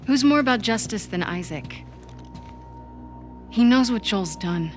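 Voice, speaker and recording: A woman's voice: a little high, lively intonation, measured pace, soft background music. A woman playing a determined, stubborn young soldier in a naturalistic video game drama, terse and direct. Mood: resolute.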